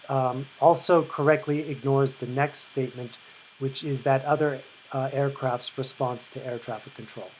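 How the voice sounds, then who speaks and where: A man explaining a technical demo in a measured, deliberate way. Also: mid-range voice, darkish tone, low-quality recording. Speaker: presenter demonstrating a homemade drone project. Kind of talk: explanatory demo narration with radio-style instructions. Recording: clear background noise.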